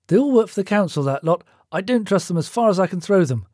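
Brash male boss, voiced by a man narrating, audiobook. Bossy, blunt, matey, higher-pitched, dry sound. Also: expressive, fast talker, darkish tone, husky, dry recording.

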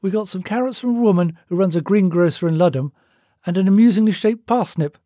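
Man acting a brash, bossy office boss, pitched up. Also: brisk, mellow, no echo, studio quality, lightly acted. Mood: matey. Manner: bossy, blunt, matey.

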